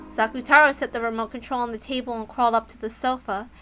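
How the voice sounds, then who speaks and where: A woman's voice: on the high side, steady tone, fast, bright, forceful tone, faint music behind. A woman narrating a scripted story as its reader.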